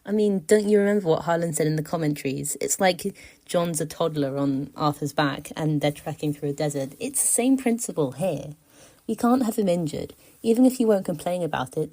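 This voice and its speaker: A woman's voice: deep voice, dark tone. A woman giving a casual, persuasive voice message as a listener, with a wry, mock-serious manner. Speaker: listener sending a voice submission.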